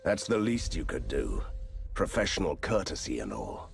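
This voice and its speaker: An actor playing a sardonic, resolute monster hunter hero in a video game, with dry delivery. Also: male voice, rumbling low, very animated, moderate pace, gravelly, husky, full character voice. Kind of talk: dramatic video game acting. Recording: loud music under the voice.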